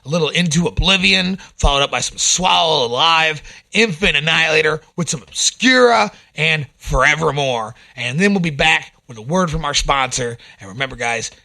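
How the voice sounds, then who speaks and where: Young male metal radio host, sniffly head cold, higher pitched, punchy and tense, blunt profane delivery. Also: lively intonation, normal speed, pushed and bright. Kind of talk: casual radio monologue.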